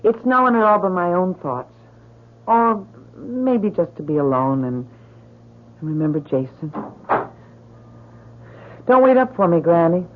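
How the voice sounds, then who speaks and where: A woman's voice: fairly low pitch, lively intonation, unhurried, long pauses. An actress playing a shy, plainspoken young mountain woman with a rural American accent, speaking hesitantly and folksily in a radio drama. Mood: uneasy, wistful. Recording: noisy, narrow-band old radio recording.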